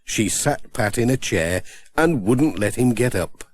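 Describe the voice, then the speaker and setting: A male storyteller reads a children's audiobook at an unhurried pace. His pitch moves up and down in a lively, animated way. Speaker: audiobook narrator. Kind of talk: scripted children's story narration.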